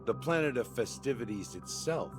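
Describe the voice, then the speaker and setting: An actor playing a secretive man who solemnly lays bare the dark history of a dream world in a game cutscene. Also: mid-range voice, expressive, lightly acted. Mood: grave. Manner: measured, solemn explaining. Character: grave schemer revealing a dreamland's dark secret. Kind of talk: video game cutscene dialogue. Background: game music.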